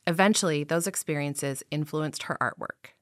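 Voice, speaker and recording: A woman reporter narrating a feature story in an even, deliberate way. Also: sits low, no room sound, clean studio sound. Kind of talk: scripted narration.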